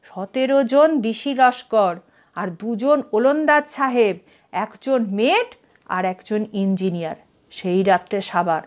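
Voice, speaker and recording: A woman with a Bengali accent reading an adventure story aloud in a measured, expressive storytelling manner. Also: medium pitch. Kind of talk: scripted dramatic narration of a story.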